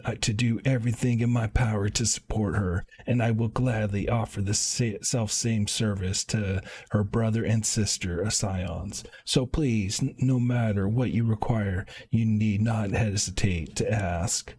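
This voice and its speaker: A man voicing a kindly, formal fatherly caretaker from a fantasy game, with halting, old-fashioned phrasing. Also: on the low side, fairly even intonation, darkish tone, husky. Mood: grave, kindly.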